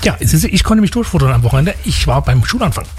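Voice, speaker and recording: A man's voice: fairly high pitch, big pitch swings, quick pace, breathy edge, soft background music. A man from Leipzig with a Saxon German accent and a slight lisp, chatting casually on air as a radio host, rambling and poking fun at himself. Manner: rambling, self-mocking, many restarts.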